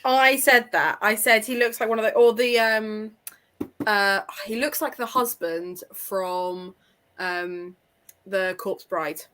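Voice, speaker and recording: A woman's voice: medium pitch, slow, pushed and bright. A woman in a casual book discussion, talking informally as one of a panel. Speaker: readalong panelist.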